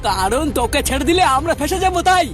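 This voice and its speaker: A high-pitched, quick-talking cartoon gang leader acted out by a performer with a female-sounding voice. The figure is cocky and blunt, boasting and threatening in comic dialogue. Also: big pitch swings. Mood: menacing. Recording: music behind the voice. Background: music.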